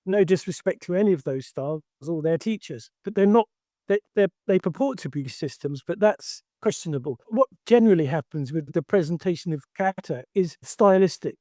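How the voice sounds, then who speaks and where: A man's voice: high voice, expressive, brisk, dark tone, dry recording, clean studio sound. An older man who teaches karate and talks emphatically in a discussion, restarting his sentences often.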